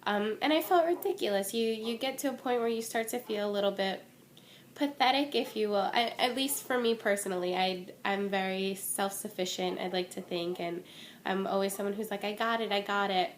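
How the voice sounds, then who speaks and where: A young woman talks to her camera at home in a relaxed, candid way, full of small fillers and restarts. Her mid-range voice sounds a little stuffy and worn from a cold. A faint hum sits behind her. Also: mid-range voice, fairly quick. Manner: chatty and candid, many ums and restarts. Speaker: vlogger. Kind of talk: casual talking-to-camera update. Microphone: phone or webcam.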